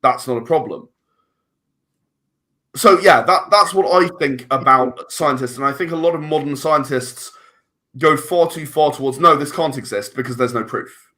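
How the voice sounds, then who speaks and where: Guest: man, forceful bright tone, halting and reflective. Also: mid-range voice. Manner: thoughtful, restarts words often. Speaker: guest filmmaker. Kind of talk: casual podcast conversation.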